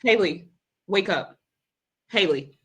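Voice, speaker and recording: A woman's voice: medium pitch, lively intonation, slow pace, fairly bright tone. A woman playing a frightened nurse on night rounds in a drama table read. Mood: panicked.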